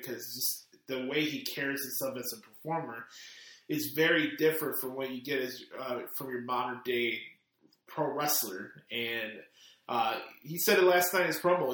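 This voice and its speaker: A man answers listener questions on his podcast, rambling through fillers and restarts. His voice sits at a middle pitch for a man. The recording is very echoey, as if made in a bare, reflective room. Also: sharp tone. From Chicago, United States. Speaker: podcast host. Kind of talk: casual podcast Q&A.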